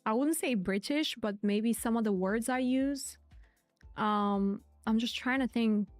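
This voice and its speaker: A woman's voice: a little high, a little slow, no echo, faint music behind. A woman host giving live stream market commentary in a chatty way, repeating her key points. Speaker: crypto trading streamer.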